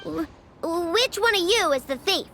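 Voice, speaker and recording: A small hot-headed girl inventor for a video game. The voice is very high and swoops animatedly, blunt and stubborn in its indignation. Noticeable background noise sits behind it. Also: lively intonation, unhurried, fairly bright tone, full character voice. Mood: angry, demanding.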